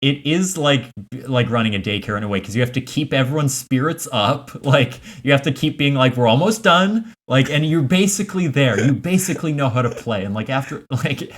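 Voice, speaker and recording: A man's voice: medium pitch, lively intonation, a little fast, hardly any pauses. A man in his thirties co-hosting a comedy podcast, with dry, self-mocking jokes in casual chat. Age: 30s. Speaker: podcast co-host.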